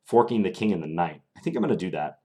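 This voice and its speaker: A young man streaming live, commentating his chess games and answering viewer questions in a relaxed, thinking-aloud way, his voice slightly strained. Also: low-pitched, fast.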